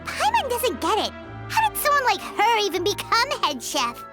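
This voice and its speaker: A woman voicing a tiny, blunt, excitable childlike fairy companion in a video game, who talks about herself by name. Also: extremely high pitch, moderate pace, over music, full character voice. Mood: miffed. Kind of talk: cartoonish video game voice acting.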